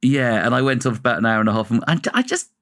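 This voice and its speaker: A man's voice: medium pitch, lively intonation, racing, a bit bright. Chatty man co-hosting a sports podcast. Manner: chatty, laughs often, restarts sentences. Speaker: podcast co-host. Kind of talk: casual chat.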